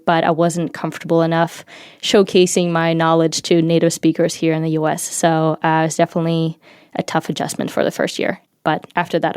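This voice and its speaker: A young woman from Ukraine, a native Ukrainian speaker, speaking as a podcast guest in a measured, reflective manner. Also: low voice, darkish tone, clean tone, dry recording, studio quality. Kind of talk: casual interview talk.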